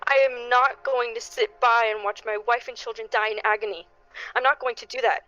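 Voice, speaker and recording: A woman playing a desperate, pleading neighbour and parent in a radio play, with halting, stammering delivery. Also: on the high side, steady tone, sharp tone, no room sound, highs cut off, soft background music. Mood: defiant, despairing. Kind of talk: dramatic radio play acting.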